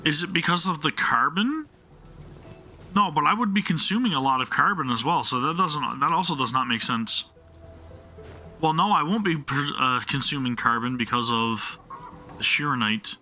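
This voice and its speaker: A man's voice: a little high, expressive, normal speed, bright, forceful tone, soft background music. A man live-streaming a game, talking to viewers and thinking aloud in a casual way, often questioning himself.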